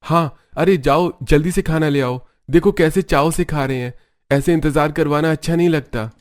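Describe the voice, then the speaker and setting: Higher-pitched man playing a good-natured village husband. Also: moderate pace, natural voice. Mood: eager.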